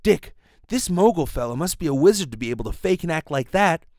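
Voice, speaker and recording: An earnest police sidekick acted by a man talks in a raised, lively voice. He is chummy and gee-whiz, straight out of an old radio serial. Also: fairly high pitch, a little fast, dry recording, studio quality, voice pushed up.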